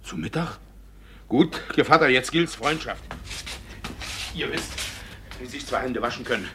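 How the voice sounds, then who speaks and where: An actor playing a cunning, guilty village judge who blusters, curses and barks orders in a classic comedy radio play. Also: male voice, medium pitch, unhurried, slight background noise. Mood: conspiratorial. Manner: blustering, excitable, barking orders, cursing. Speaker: actor in lead role.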